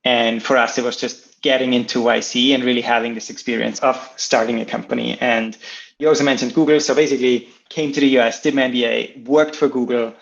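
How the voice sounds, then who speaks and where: Austrian man, creaky voice, slightly dull audio. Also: mid-range voice, steady tone, fairly quick. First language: German. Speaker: interview guest, startup co-founder. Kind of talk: casual explanatory interview talk.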